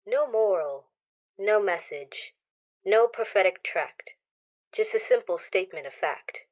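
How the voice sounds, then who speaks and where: A woman's voice: medium pitch, animated, measured pace, natural voice. Woman as a solemn radio drama narrator, slightly muffled. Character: solemn narrator of an eerie moral tale. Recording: dull lo-fi audio.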